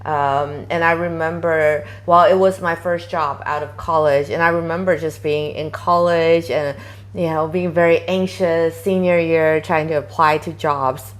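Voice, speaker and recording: Low-voiced chatty woman guest. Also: steady tone, measured pace. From Taiwan. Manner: chatty, many fillers, quoting herself animatedly. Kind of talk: casual interview conversation.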